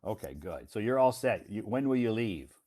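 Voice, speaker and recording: American male host, low-pitched and reassuring, easygoing and a bit repetitive. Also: normal speed. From United States. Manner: relaxed, reassuring, repeats himself often. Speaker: English teacher and host. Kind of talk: casual conversation lesson.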